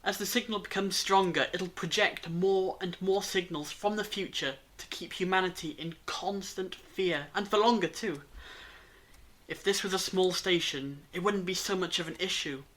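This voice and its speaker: A woman playing a brainy time-travelling alien in a fan audio drama, lecturing gravely in deliberate monologues with dramatic pauses. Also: fairly low pitch, fairly bright tone. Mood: urgent, grave.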